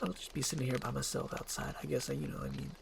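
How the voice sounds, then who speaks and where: A woman's voice: rumbling low, huge pitch swings, fast, creaky, noisy background, exaggerated voice. A woman voicing a talkative, needy male donkey, eager and rambling, in an amateur screenplay performance. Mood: dejected. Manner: chatty, eager, rambling, wheedling.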